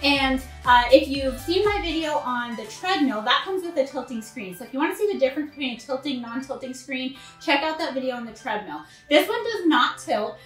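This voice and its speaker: A woman's voice: fairly high pitch, a bit bright. A woman in her thirties casually reviewing home gym equipment to camera in a bare indoor room. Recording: echoey room, faint music behind.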